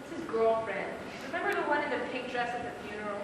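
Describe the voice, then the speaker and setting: An actress playing a worried, exasperated young sister in a live amateur stage play. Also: female voice, fairly high pitch, animated, fast, natural voice. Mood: curious. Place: small theatre stage, distant microphone. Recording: echoey, noisy theatre recording from far off.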